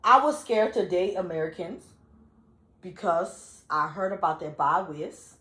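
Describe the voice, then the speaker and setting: Liberian-born woman co-hosting, swoopy lively voice, tells stories with lots of laughing, echoey room. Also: fairly low pitch, unhurried. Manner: expressive storyteller, breaks into laughter. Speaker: co-host. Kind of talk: casual chat.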